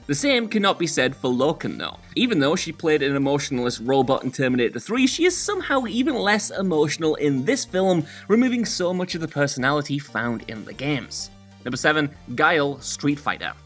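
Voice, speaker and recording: A man's voice: fairly high pitch, fairly bright tone. A man presenting scripted countdown commentary in an emphatic manner with wry asides. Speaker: presenter of a video list show. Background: background music.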